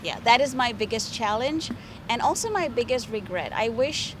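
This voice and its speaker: Middle-aged woman w american accent, chatty, laughs a lot, loud street noise behind. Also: on the high side, lively intonation, normal speed. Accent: American-accented English. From India. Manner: chatty, laughs often, explains in detail. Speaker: interpreter, interview guest. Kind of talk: conversational interview.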